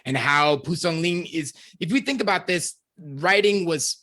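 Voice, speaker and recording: A man's voice: on the high side, measured pace, sharp tone. A man talking enthusiastically and in a rambling way as a panelist in a podcast discussion.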